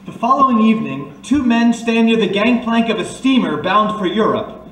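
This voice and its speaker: A man's voice: high-pitched, animated, normal speed. A man giving scripted, atmospheric narration for a radio detective drama. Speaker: narrator and bit player. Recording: echoey, distant mic, faint noise.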